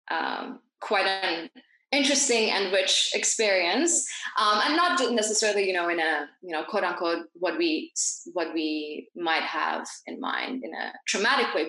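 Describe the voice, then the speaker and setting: A woman from Iran who grew up in Dubai, speaking reflectively and haltingly as an interview guest, often rephrasing herself. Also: lowish voice, pushed and bright. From born in Iran, grew up in Dubai. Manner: halting, frequent pauses and self-corrections. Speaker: podcast guest, naturopathic doctor. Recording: echoey room.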